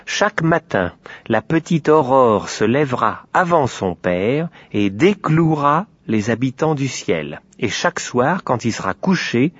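A man voicing a young boy God, childish and bossy, brisk and matter-of-fact. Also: mid-range voice, very animated, breathy edge, no echo, lo-fi sound. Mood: impatient.

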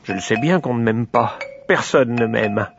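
A man's voice: mid-range voice, normal speed, vocal fry, highs cut off, music in the background, lightly acted. A man voicing a whiny, greedy little male piglet who pleads and sulks. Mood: sulking. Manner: whining, pleading, sulky.

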